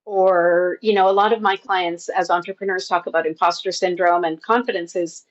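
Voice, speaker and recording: Casting: casual woman interview host, chatty and relaxed, slightly muffled lo-fi call sound. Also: fairly low pitch, moderate pace. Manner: casual, chatty, many "like" fillers. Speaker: podcast host and business coach. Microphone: video call setup.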